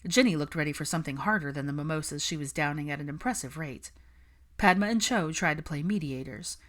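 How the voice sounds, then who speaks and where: A woman's voice: low voice, fast, a bit bright. A woman reading a story aloud as a scripted narrator. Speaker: fan fiction narrator.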